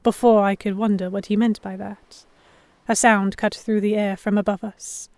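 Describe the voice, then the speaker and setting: A woman giving expressive first-person narration in the style of a dramatic audiobook. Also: medium pitch, moderate pace, a little hiss or hum.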